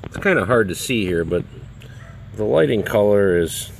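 Low-voiced man reviewing a light. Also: expressive, moderate pace, creaky, a little hiss or hum. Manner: plain, practical, with many fillers and restarts. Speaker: product reviewer. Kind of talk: casual product review. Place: home, over a glass patio table, then outdoors in a backyard.

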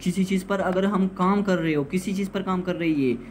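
A man's voice: high-pitched, fairly quick, slight background noise. A man giving an explanatory grammar lesson as a teacher, repeating points and posing questions that he answers himself. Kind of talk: explanatory lesson, translating examples.

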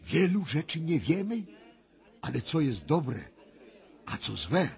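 An actor playing a mysterious, intense clairvoyant in a radio drama, speaking deliberately with dramatic, weighty pauses. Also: male voice, fairly high pitch, very animated, gravelly, darkish tone, heavily acted. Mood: solemn, reflective. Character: male clairvoyant, solemn, intense, mysterious seer. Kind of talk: 1990s radio drama acting.

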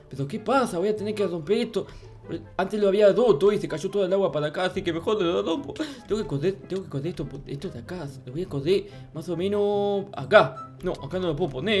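A performer voicing a childish, excitable, clueless noob in an improvised game roleplay, stammering and repeating words. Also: medium pitch, lightly acted. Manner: repetitive, stammering, exclaiming. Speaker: gaming channel host.

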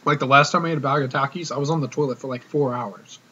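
A man's voice: mid-range voice, fast. Cocky young man, dull hissy webcam audio. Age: young adult. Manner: cocky, slangy, animated. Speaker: vlogger, prank caller. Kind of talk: casual comedic vlog chat to camera. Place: small room indoors.

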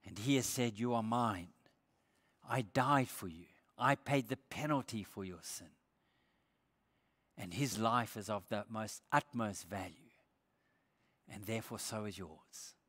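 An older man preaching a sermon as pastor, emphatic, lifting his voice on scripture quotes and dropping low for quiet points. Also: a little slow, pauses often. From Australia. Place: church stage with brick wall. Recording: church hall with long reverb.

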